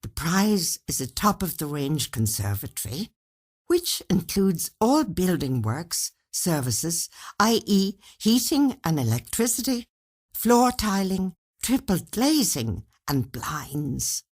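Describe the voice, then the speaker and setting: A woman narrator voices a male regional manager's formal letter in a storybook. The tone is congratulatory and businesslike, with strongly varied pitch and careful, slow phrasing. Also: very animated, heavily acted.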